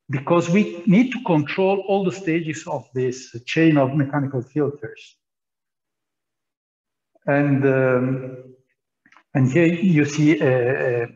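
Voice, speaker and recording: A man's voice: fairly high pitch, a little mellow, muffled recording. A man guiding a tour through a large indoor lab, explaining as he walks, with many fillers and repeated words. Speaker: tour guide at a physics lab.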